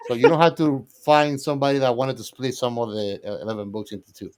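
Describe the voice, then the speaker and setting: A man's voice: medium pitch, fairly even intonation, quick pace, a little mellow. A man co-hosting a podcast, chatting casually in an enthusiastic, emphatic and playfully argumentative way. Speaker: podcast co-host. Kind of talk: casual fan chat.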